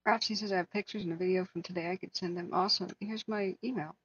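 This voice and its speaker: Woman livestream host, husky and hoarse, earnest chat, slightly muffled lo-fi audio. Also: fairly low pitch, steady tone, quick pace, no echo. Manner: earnest, talks to chat viewers by name. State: hoarse, losing her voice. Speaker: wildfire tracking livestream host.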